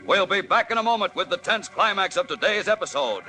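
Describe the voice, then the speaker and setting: A man's voice: high-pitched, lively intonation, brisk, pushed and bright, faint music behind. A man narrating an old radio serial as announcer, suspenseful and emphatic, on a vintage broadcast recording.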